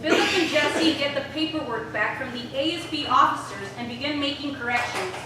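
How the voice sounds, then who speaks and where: A woman's voice: high voice, fairly quick, close to an everyday voice. A woman reading stage directions as scripted narration for a staged play reading. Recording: echoey room from far off, noisy.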